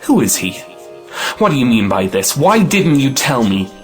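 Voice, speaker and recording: An actor playing an impetuous, possessive young gentleman suitor in an audio play, theatrical and emphatic. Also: mid-range voice, big pitch swings, moderate pace, faint music behind, natural voice. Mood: indignant, possessive. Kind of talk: audio play acting of a 1910s stage comedy.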